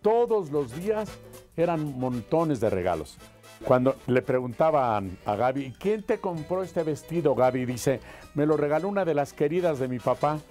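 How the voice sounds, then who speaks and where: A man's voice: fairly high pitch, big pitch swings, moderate pace, warm tone, faint music behind. An older man recalling his radio days conversationally in an interview. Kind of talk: conversational reminiscence. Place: book-lined study indoors.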